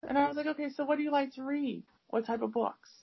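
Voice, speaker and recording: A woman's voice: sits high, fairly even intonation, fast talker, gravelly. A woman, an English teacher, chatting casually and rambling with many fillers and restarts. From United States. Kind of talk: casual conversation. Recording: dull lo-fi audio.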